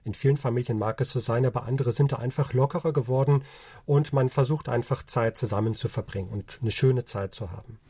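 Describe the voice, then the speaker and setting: A man in his forties co-hosting a casual podcast, explaining calmly with many hesitations. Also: mid-range voice, a little fast, husky, no echo. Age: 40s. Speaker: podcast co-host.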